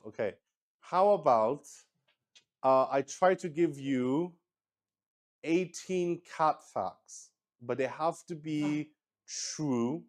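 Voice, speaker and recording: Slow, hesitant man. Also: mid-range voice. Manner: hesitant, rambling, thinking aloud. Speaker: streamer hosting a solo tabletop roleplay stream. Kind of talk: casual improvised livestream chat.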